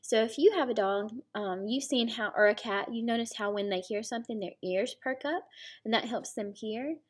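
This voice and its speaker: Female teacher, slightly high voice, storybook read-aloud for young children, explanatory delivery. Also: moderate pace.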